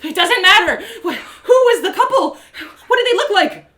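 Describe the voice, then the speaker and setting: A woman's voice: very high voice, expressive, very slow, pushed and bright, pitched above the performer's own voice, heavily acted. A woman playing a distraught, hot-tempered female adventurer in an acted audio story, stammering and exasperated.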